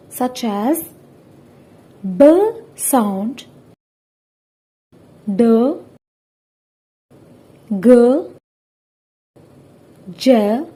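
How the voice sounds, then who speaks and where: A young woman, a student presenter, reading out a scripted lesson in a careful, halting, word-by-word manner. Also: fairly high pitch, dark tone, husky. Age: young adult.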